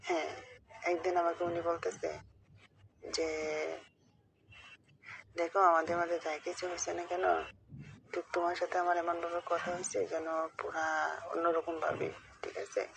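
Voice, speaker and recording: A gravelly, fry-edged woman with a Bangladeshi accent confides in a friend in a casual phone chat. Her delivery is flat and rambling, with frequent little checks that the listener is keeping up. The call recording has faint noise behind it. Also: fairly low pitch, normal speed, long pauses. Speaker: caller telling a friend a personal story.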